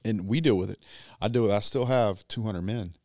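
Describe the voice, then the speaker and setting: A man, a business owner talking as a podcast guest, measured and drawn out, louder when he presses a point. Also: lowish voice, no echo, studio quality. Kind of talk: casual conversation. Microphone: boom mic on stand.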